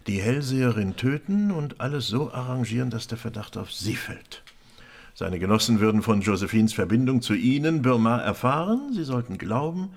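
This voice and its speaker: A police commissioner in a radio crime play, with a gravelly edge to his voice. His delivery swings widely in pitch as he explains things in animated, colloquial talk. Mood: brisk, animated. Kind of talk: radio crime drama dialogue.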